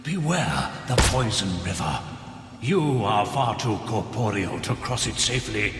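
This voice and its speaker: An actor playing a cursed undead knight spirit in a video game, speaking in a grandiose, archaic, theatrical way. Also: male voice, fairly low pitch, expressive, measured pace, loud music behind the voice. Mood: bitter, warning. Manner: archaic, grandiose, melodramatic delivery.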